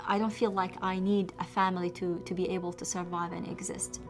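A woman speaks candidly, often pausing to find her words. Her voice stays level with little rise and fall. Soft music plays faintly behind her. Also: lowish voice, flat delivery. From Iraq. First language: Arabic. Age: 20s. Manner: plain, hesitant, candid. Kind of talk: documentary interview.

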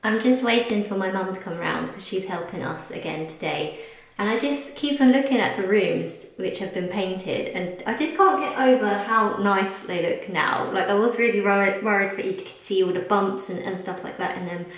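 A woman's voice: medium pitch, normal speed. A British woman in her thirties chatting casually and informally to camera as a home vlogger, rambling and correcting herself as she goes. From United Kingdom. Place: bare rooms of a house under renovation, indoors. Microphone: handheld camera at arm's length. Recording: echoey empty rooms.

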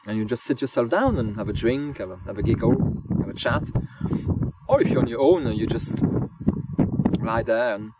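A man, a hobby wild camper, thinking aloud in relaxed interview answers, pausing with lots of ums and restarting his sentences. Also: mid-range voice, very noisy. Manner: hesitant, many ums and restarts. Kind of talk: casual reflective interview answers. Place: outdoors on moorland. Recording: distant voice under loud music.

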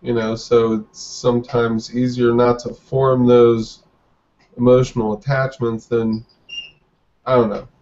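Slow, halting man on a webcam. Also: medium pitch, flat delivery, darkish tone. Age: 40s. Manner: hesitant, halting with restarts. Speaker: guest artist. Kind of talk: casual interview over video call. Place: dim room indoors. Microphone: webcam on video call. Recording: dull lo-fi webcam audio.

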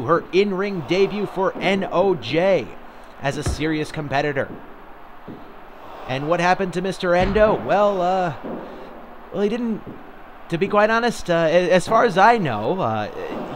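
A rambling male wrestling commentator calling a classic match. His voice sits a little high, and he hesitates, trails off and wanders with plenty of fillers. Clear background noise from the game footage runs under it. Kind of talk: play-by-play commentary.

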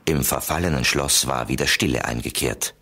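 A man's voice: a bass voice, normal speed, heavy background noise. A man narrating an audio drama as a scripted storyteller.

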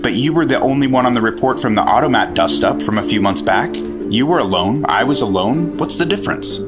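Guy acting as eager defensive young-ranking agent in a 50s radio play, animated pitch, music loud behind. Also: a little fast, close to an everyday voice. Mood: indignant, defensive. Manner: eager, protesting. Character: earnest, defensive male junior 1950s agent. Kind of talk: 1950s radio drama acting.

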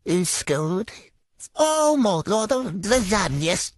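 A man's voice: very animated, takes it slowly, dry recording. A British man with a foul-mouthed, exasperated manner commentates on his own football gaming through a trickster voice filter. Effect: pitch-altering trickster voice filter. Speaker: gamer commentating on own football game play.

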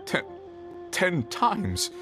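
A man's voice: high-pitched, huge pitch swings, very slow, music in the background, exaggerated voice. A man playing a flustered, fretful merchant in a video game, prone to stammering. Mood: shocked. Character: anxious, flustered male merchant, easily cornered. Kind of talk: video game character dialogue.

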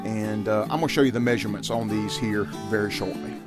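A man's voice: on the low side, fairly even intonation, fast, over loud music. A middle-aged man giving casual how-to vlog talk, folksy and speaking directly to viewers. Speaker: outdoors and shooting vlogger.

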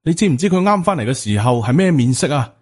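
A man's voice: on the high side, sing-song, fast talker, darkish tone. A man voicing an earnest, respectful young Taoist disciple who pleads and reasons in an audiobook. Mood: earnest, worried.

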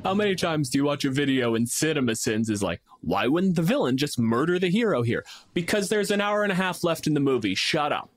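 A man's voice: a little high, sing-song, a little fast, few pauses, dry recording, studio quality. A man from Canada co-hosting a podcast, explaining and arguing his points casually with some swearing. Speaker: podcast co-host and game master.

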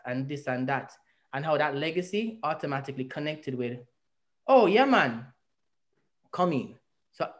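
Earnest Jamaican man on a video call. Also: mid-range voice. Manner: earnest, reflective, speaks at length with rising emphasis. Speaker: artist and interview guest. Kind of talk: personal storytelling in an interview. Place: indoor home room. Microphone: video call webcam.

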